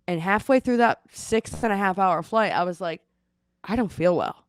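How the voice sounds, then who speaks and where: Congested woman telling a quick story. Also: mid-range voice, clean tone. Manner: chatty storytelling, animated retelling. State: congested, losing her voice. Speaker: co-host. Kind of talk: casual chat. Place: at home in Seattle.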